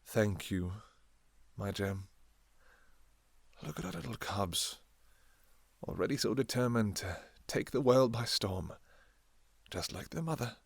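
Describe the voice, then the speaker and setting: A man playing an ancient, devoted vampire king and doting father in an intimate romantic audio roleplay, speaking softly and haltingly with sentimental endearments. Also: on the low side, animated, creaky, breathy edge, dry recording, heavily acted. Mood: gentle, encouraging. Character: tender, devoted two-thousand-year-old vampire king, doting father.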